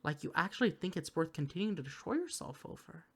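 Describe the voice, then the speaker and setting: A woman's voice: low-pitched, lively intonation, fast, a bit bright, slightly rough, full character voice. A woman playing a worried, exasperated partner in an intimate audio roleplay, speaking to the listener with earnest, caring reproach. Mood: quiet, hurt. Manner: earnest, pleading, caring scolding.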